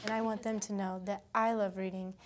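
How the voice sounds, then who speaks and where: A woman's voice: medium pitch, flat delivery, a little fast, noisy background. A woman in her twenties, a teacher who speaks expressively and encouragingly about and during reading with children. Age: 20s. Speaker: afterschool teacher. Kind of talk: explaining teaching methods and leading a children's read-aloud.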